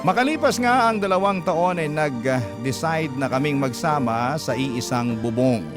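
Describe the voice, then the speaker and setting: A man working as a radio host, reading listeners' love letters in a measured, sentimental, dramatic narration. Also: on the high side, lively intonation. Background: loud background music.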